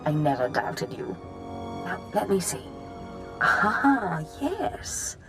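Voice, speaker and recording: An actress playing a scheming, theatrical female dark-side master in a video game, knowing and conspiratorial. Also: on the low side, sing-song, takes it slowly, loud music behind the voice. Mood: excited scheming. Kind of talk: dramatic video game acting.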